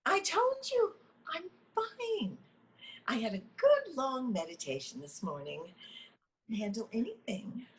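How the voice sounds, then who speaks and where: A woman's voice: on the high side, sing-song, unhurried, a little hiss or hum. A woman playing a frazzled, defensive, lovestruck goddess in a comedy table reading, flustered and insistent. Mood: defensive, irritated. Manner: flustered, insistent, nervous laughs. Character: frazzled, defensive, delusional lovestruck goddess Luella, meeting leader.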